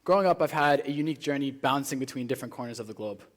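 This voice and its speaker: A teenage man raised in Spain delivers a rehearsed speech to a live audience as a student contestant, with earnest, deliberate, rhetorical phrasing. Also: mid-range voice. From Spain, born in South Africa. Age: teenager, high school student.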